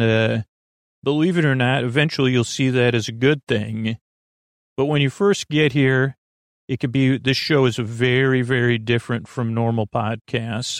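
Male sleep podcast host: gravelly creak, mid pitch, hesitant rambling digressions, lulling delivery, dry sound. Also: no room sound.

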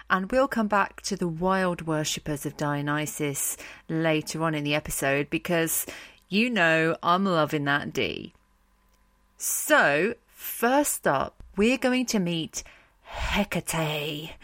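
A woman's voice: fairly low pitch, fairly bright tone, no echo. Woman podcaster narrating stories, takes her time, slangy n cheeky, very dry close sound. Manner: irreverent, slangy, emphatic. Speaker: podcast host. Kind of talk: informal scripted storytelling narration.

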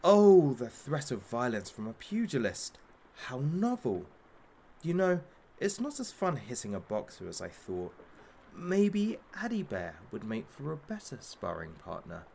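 A man's voice: mid-range voice, huge pitch swings, unhurried, vocal fry, a little mellow, slight background noise, exaggerated voice. An actor playing a masked kidnapper who hosts a sadistic hostage talk show in an audio drama, as a mocking, theatrical showman. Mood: cruel, taunting.

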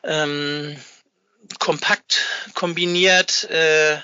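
A middle-aged man with a very bright, forward voice talks casually in an interview. He hesitates often as he gathers his words. The sound is dry and slightly dull. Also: a little high, fairly even intonation, clear, resonant tone, dry recording. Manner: halting, hesitant, searching for words. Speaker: interview guest, fan magazine maker.

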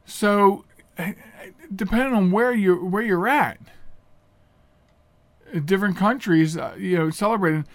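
A high-pitched man hosts a radio talk show by himself, his voice slightly nasal and clogged up from pollen. He rambles casually, trips over his words and corrects himself. The sound is close and dry, with no room echo. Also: a little slow, long pauses. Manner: rambling, chatty, with self-corrections and filler words. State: a little congested, nasal from pollen. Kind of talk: casual solo radio monologue.

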